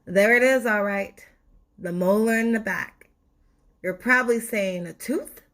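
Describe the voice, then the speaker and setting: A woman narrating a children's storybook expressively, with deliberate, dramatic phrasing. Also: mid-range voice, a bit bright. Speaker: storybook reader.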